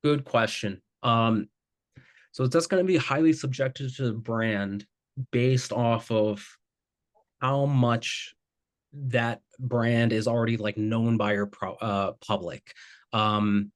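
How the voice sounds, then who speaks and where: A man's voice: medium pitch, fairly even intonation, slow. A man working as a guest ad expert, reviewing submitted ads live in a casual, loose way with plenty of filler words. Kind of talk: live ad critique session.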